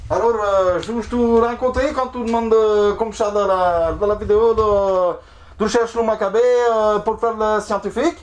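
A man voicing a dim-witted adult Portuguese mason who claims to be a scientist, speaking halting, broken French with a Portuguese accent. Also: high-pitched, steady tone, reverberant, pitched above the performer's own voice, lightly acted. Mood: dopey. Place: indoor shed or garage.

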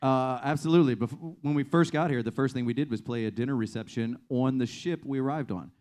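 A man from the United States answering a host's questions on a small venue stage, talking in a relaxed, good-humored way through a handheld mic. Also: medium pitch, brisk. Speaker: band leader.

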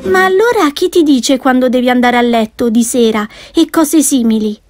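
A woman's voice: high-pitched, moderate pace, darkish tone, no echo, studio quality, soft background music, close to an everyday voice. A woman voicing a shy little girl who speaks hesitantly and earnestly. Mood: timid.

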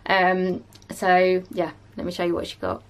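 Chatty woman with a gravelly voice. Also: on the low side, little pitch movement, a little slow. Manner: chatty, many ums, shows items one by one. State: losing her voice a bit. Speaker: vlogger. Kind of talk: casual chat to camera. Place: small room at home, indoors.

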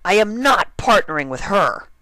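A man's voice: high voice, sing-song, normal speed, sharp tone, no echo, pitched above the performer's own voice, full character voice, rough recording. A man voicing a brash, stubborn young female pegasus pony in an abrupt, defiant manner for a story reading. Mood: outraged.

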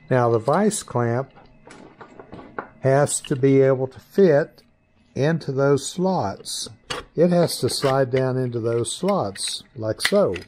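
An older man in his sixties or beyond, informally explaining and demonstrating products in deliberate, halting phrases with pauses. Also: medium pitch, a little mellow, slight background noise. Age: 60s or older. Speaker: maker presenting his 3D printed products. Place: small indoor workshop.

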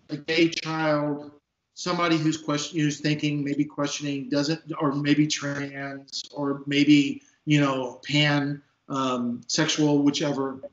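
A man in his fifties from Texas, a police sergeant, speaking as an interview guest in earnest, emphatic talk with a big booming voice, recorded on a video call from an office. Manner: emphatic, repeats words when stressing a point. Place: office at a desk. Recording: echoey, dull video call audio.

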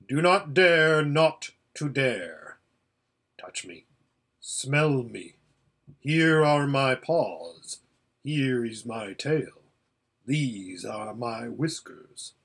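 Man voicing a slow, regal lion. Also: mid-range voice, expressive, a bit bright, slightly rough, full character voice. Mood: commanding. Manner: slow, measured, commanding. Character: great solemn male lion, regal, stern yet tender.

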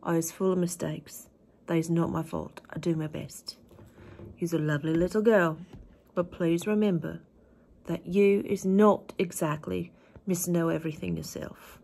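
A gentle old giant talks big and loud, jumbling his grammar and coining odd words, as a woman reads him out of a storybook. He sounds earnest and kindly, a storybook giant. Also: animated, a little fast, hardly any pauses, a little hiss or hum, close to an everyday voice. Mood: quiet, hurt. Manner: mangled grammar, odd made-up words, booming.

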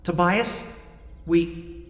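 A man's voice: high voice, drawn-out words, a bit bright, pitched above the performer's own voice, heavily acted. A man voicing a brave, resolute teenage girl in an audiobook, earnest and pleading, with an echoing telepathic effect. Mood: grave pleading. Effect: echoing telepathic-voice reverb. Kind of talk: dramatised audiobook dialogue. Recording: echoing long artificial reverb.

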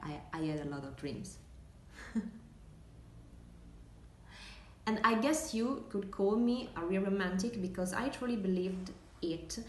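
A woman's voice: mid-range voice, expressive, a little mellow, lightly acted. A young woman with an Italian accent playing a wistful, hesitant dreamer remembering lost hopes in a dramatic monologue. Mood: wistful, dreamy. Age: young adult. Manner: slow, hesitant, inward. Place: small indoor room, seated at table. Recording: echoey room, faint hiss.

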